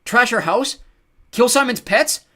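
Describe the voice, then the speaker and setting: A man's voice: on the high side, lively intonation, fast talker, husky, pitched above the performer's own voice. A man playing an anxious, hot-tempered boy in a storybook, calling out urgently. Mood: angry. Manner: urgent shouting.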